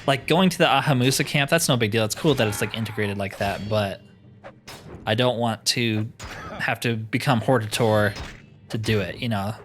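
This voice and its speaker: A man's voice: on the low side, moderate pace, a bit bright, faint music behind. A man giving casual, chatty let's-play commentary, often explaining himself in asides. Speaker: host of a gaming playthrough.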